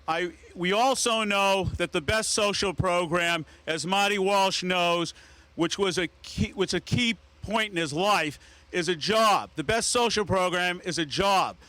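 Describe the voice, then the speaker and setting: A man's voice: sits high, sharp tone, smooth, no echo. A man speaking as a state representative at an outdoor public event, emphatic and fond of repeated phrases. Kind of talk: prepared public speech.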